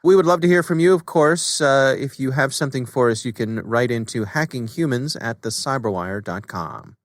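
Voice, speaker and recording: Podcast host: American man, average pitch, presenter's scripted reads plus easy conversational exchanges, very dry recording. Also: expressive, moderate pace, no echo. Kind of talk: scripted podcast intro and casual co-host chat.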